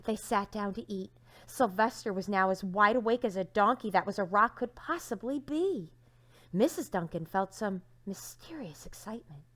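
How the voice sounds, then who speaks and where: A woman teacher reading a storybook aloud to young children, dramatic and expressive, with hushed phrases and questions to listeners. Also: medium pitch, moderate pace.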